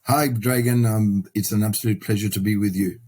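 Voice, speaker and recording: A middle-aged man from Sudan and South Africa answering interview questions as a podcast guest, in a halting, measured way that often repeats words. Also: lowish voice, steady tone, dark tone. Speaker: podcast guest, property investor and mentor.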